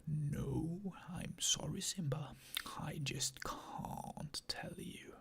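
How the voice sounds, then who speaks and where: Sly lion villain, drawling and sarcastic, as a reader whispers him; slow, husky whisper. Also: mid-range voice, fairly bright tone, pitched below the performer's own voice, full character voice. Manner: whispered, drawling and mock-sweet. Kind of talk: whispered script reading.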